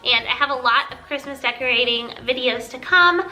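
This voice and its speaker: A woman's voice: high-pitched, a little fast, sharp tone, faint music behind, rough recording. A woman hosting a casual home decor vlog, chatting in an upbeat way with a nasal, congested-sounding voice. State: congested from being sick. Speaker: video host, home decor vlogger.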